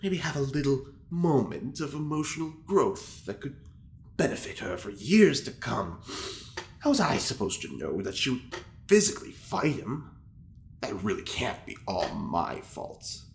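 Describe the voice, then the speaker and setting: A man's voice: mid-range voice, sing-song, unhurried, gravelly. A man playing a fussy, self-important prisoner in an audio drama, speaking his diary aloud with affected theatrical airs. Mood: aggrieved innocence. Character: prim, self-important male prisoner, scheming gossip feigning innocence. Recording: roomy echo with faint background noise.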